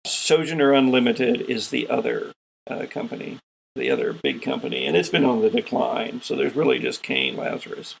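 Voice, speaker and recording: A man running a tabletop sci-fi game, narrating scenes in an improvised, deliberate and descriptive way as game master. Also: mid-range voice, vocal fry, slight background noise, rough recording.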